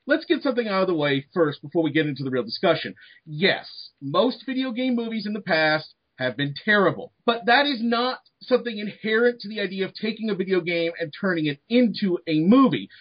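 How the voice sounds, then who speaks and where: High-pitched husky man hosting a discussion. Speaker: host of a debate show. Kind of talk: discussion hosting and opinion talk. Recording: dull lo-fi sound.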